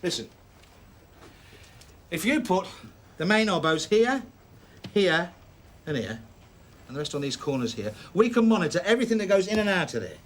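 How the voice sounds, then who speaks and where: A man's voice: high voice, sing-song, normal speed, pauses often. A man playing a dogged, impassioned British police detective in a TV drama, arguing bluntly and insistently. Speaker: detective constable. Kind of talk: naturalistic TV police drama acting.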